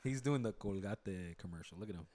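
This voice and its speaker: A man's voice: deep voice, lively intonation, brisk, dark tone. A young man co-hosting a podcast, chatting loosely with jokes, swearing and plenty of fillers. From United States. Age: young adult. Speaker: podcast co-host. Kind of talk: casual chat.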